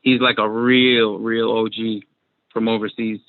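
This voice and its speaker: A man's voice: lowish voice, flat delivery, unhurried, no room sound. A young man from Massachusetts with a Massachusetts accent, chatting casually as a guest over a video call, rambling and repeating his words. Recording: dry tinny video call audio.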